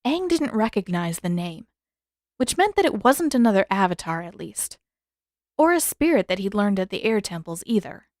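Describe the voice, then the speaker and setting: A woman narrates a piece of fiction in a scripted storytelling style. Her voice sits at a medium pitch, and the recording is dry and free of room sound. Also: very animated, moderate pace, no room sound. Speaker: narrator, fan fiction author.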